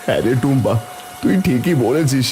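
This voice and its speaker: A man's voice: medium pitch, lively intonation, brisk, very noisy, lightly acted. A man voicing an anxious poor village fish farmer and father in a children's cartoon. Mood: distraught. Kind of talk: Bengali cartoon voice acting.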